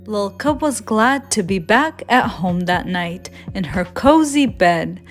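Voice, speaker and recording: A woman reading a children's storybook aloud as storyteller in a gentle, expressive manner. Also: medium pitch, takes it slowly, faint music behind.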